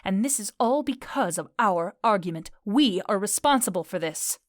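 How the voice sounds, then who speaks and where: A woman's voice: medium pitch, lively intonation, normal speed, no echo, clean studio sound, close to an everyday voice. A woman playing a proud, defiant fairy queen in an audio drama, declaiming with dramatic indignation. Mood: aggrieved. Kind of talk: modern-English audio drama acting.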